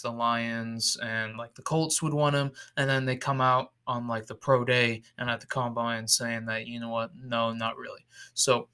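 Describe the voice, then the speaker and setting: A young man talks through a football draft in loose, rambling commentary, restarting sentences and leaning on fillers. His throat is sore, so the voice sounds slightly off. His pitch sits right in the middle for a man. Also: a bit bright. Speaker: YouTube football mock draft host. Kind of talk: casual solo commentary over screen.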